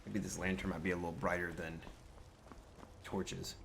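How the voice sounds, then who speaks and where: A man's voice: sits low, level tone, moderate pace, creaky, fairly bright tone, a little hiss or hum. A man role-playing his character in a video game, casually musing aloud and chatting as he goes. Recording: game music behind the voice. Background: game music.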